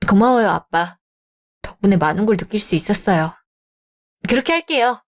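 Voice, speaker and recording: A woman voicing a young bride-to-be in a radio drama, polite and measured but firm. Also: mid-range voice, animated.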